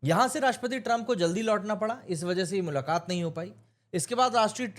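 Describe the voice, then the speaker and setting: An Indian man giving an opinionated news explainer, speaking emphatically and mixing in English terms. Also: high voice. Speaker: news commentator.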